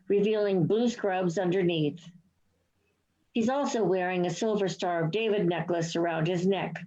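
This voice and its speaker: A woman's voice: medium pitch, mellow. Casting: female narrator for stage directions, mid-range voice, scripted read, somewhat dull lo-fi sound. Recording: dull, lo-fi online recording.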